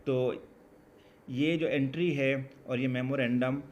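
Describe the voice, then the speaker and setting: A man's voice: mid-range voice, steady tone, slow pace. A man with a Pakistani accent teaching an exam lesson as a law teacher, explaining conversationally and rephrasing point by point. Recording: background noise throughout.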